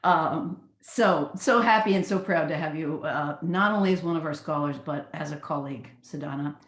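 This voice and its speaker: A woman hosting a webinar on a video call, praising speakers and moving briskly to the next topic. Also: sits low, roomy sound. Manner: warm praise, chatty, brisk transitions. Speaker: host, program leader.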